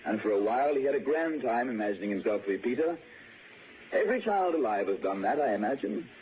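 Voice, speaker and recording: An actor playing a gentle, wistful, courteous lord in 1960s radio drama, speaking with hesitant, softly persuasive politeness. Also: male voice, mid-range voice, animated, fast, natural voice. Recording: dull, noisy 1960s radio recording.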